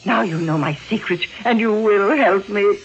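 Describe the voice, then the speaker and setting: A woman's voice: medium pitch, normal speed, close to an everyday voice. A woman playing a frightened, guilt-ridden older aunt in a 1940s radio melodrama, agitated and pleading. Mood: confessing, pleading. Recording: dull vintage radio recording, music.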